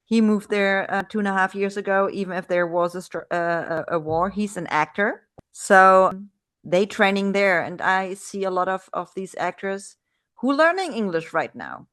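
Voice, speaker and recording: A woman from Germany with a German accent, hosting a relaxed video-call interview in English and talking in a chatty way with many restarts. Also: mid-range voice, normal speed. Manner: chatty, frequent restarts and fillers. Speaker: podcast host.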